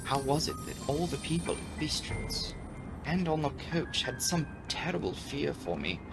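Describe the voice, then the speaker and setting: A man giving a dramatic audiobook reading of a classic novel, with expressive, deliberate, theatrical phrasing. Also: on the high side, vocal fry, music in the background. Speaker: audiobook narrator and channel host.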